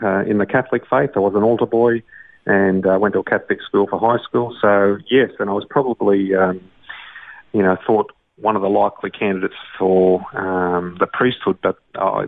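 Australian man, lowish gravelly voice, halting interview guest full of fillers, dry phone-line sound. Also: little pitch movement, dry recording. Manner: hesitant, many uh fillers. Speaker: guest, doctor and songwriter. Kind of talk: casual interview.

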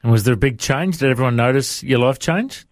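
A man's voice: medium pitch, lively intonation, brisk, no room sound, studio quality. Australian man hosting a podcast interview. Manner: friendly, easygoing questions. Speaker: podcast host. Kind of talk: casual interview.

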